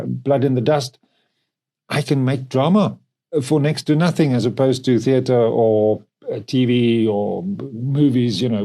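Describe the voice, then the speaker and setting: A man's voice: medium pitch, dark tone. A man from South Africa speaking conversationally as a company co-founder, explaining things expressively. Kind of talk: conversational interview.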